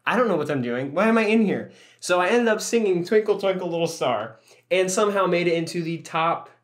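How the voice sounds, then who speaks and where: A man's voice: high voice, animated, a little fast. A young man co-hosting a podcast, telling stories in a rambling, joking way and swearing often. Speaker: podcast co-host. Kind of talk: casual chat.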